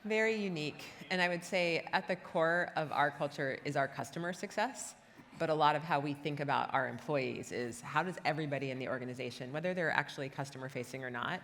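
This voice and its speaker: A woman's voice: sits low, quick pace, a bit bright. A middle-aged woman chatting casually as an interviewee on a panel. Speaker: interviewee, company HR executive. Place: conference stage in a large hall. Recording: reverberant hall, stage event.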